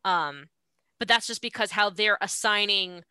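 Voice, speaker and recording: A woman talks through ideas on a podcast as a guest, pausing with "um"s as she works through her explanation. Her voice is bright and forward. The recording is dry and close, with no room sound. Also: mid-range voice, smooth, no echo. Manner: explanatory, thoughtful, many "um"s. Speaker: podcast guest discussing translation. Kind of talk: casual conversation.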